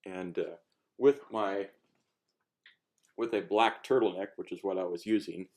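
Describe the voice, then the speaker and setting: A man casually explaining a homemade project in a halting, unscripted how-to style, rephrasing as he goes. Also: low voice, darkish tone. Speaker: hobbyist cosplay maker giving a demonstration.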